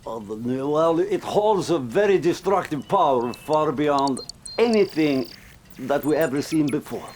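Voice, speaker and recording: An actor playing an eccentric Soviet scientist in a game, rambling and halting. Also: male voice, on the high side, animated, a little mellow, a little hiss or hum. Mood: flustered, eager. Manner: halting, flustered.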